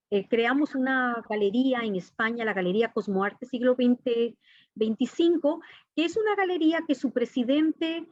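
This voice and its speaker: A woman's voice: high voice, a little mellow. A woman from Ecuador, giving earnest, formal, explanatory answers as an interview guest. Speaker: interview guest, artist and professor. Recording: dull, echoey lo-fi call audio.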